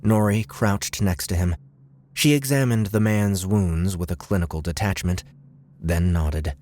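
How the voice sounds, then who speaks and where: A man's voice: deep voice, a little slow, darkish tone. A man reading dramatic scripted narration as an audiobook narrator.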